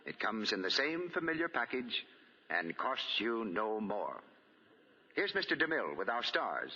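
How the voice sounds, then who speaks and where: A man's voice: fairly low pitch, sing-song, measured pace, sharp tone, husky. An American man working as a 1940s radio announcer, reading copy with polished, emphatic phrasing on a dull old recording.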